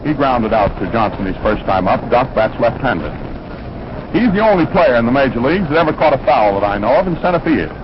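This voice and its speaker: An American man announces baseball in an old 1940s radio style, with a mid-pitched voice. He describes the play and chats conversationally. The old broadcast sounds dull, with clear noise around him. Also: big pitch swings, brisk. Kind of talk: 1940s radio sports commentary. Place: ballpark broadcast position.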